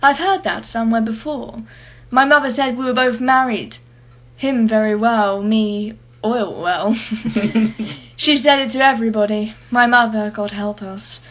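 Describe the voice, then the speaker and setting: A woman acting a haughty, brittle society wife, higher-voiced. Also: unhurried, muffled recording, close to an everyday voice. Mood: dry, candid. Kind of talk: radio play acting.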